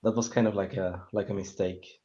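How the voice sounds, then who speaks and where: A man talking casually and giving opinions as a film panellist, halting and searching for words. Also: deep voice, steady tone, dark tone. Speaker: panellist on a film talk show. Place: online call with screen sharing. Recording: dull, lo-fi call audio.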